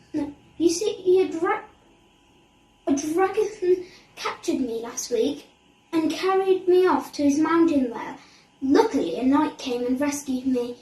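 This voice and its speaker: A woman's voice: high voice, expressive, a little slow, darkish tone, voice pushed up. A woman voicing a bossy, breezy little princess in a children's story, with an imperious, dismissive manner. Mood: boastful, brisk. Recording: echoey room, distant mic.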